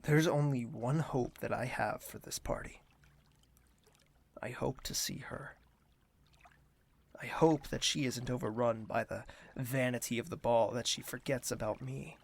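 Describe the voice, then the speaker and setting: An actor playing a burdened young prince in an audio drama, speaking formally and introspectively with a weary manner. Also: male voice, mid-range voice, fairly even intonation, measured pace, vocal fry. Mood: wistful, tired.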